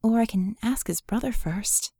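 A woman voicing an earnest, curious and skeptical female mage in an audiobook, speaking in a halting, candid, conversational way. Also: fairly high pitch, warm tone, slightly rough, no room sound, clean studio sound, pitched above the performer's own voice. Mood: gentle, curious.

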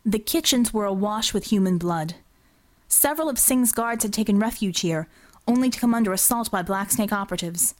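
Audiobook narrator, female, mid-pitched voice, scripted fiction storytelling. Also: brisk.

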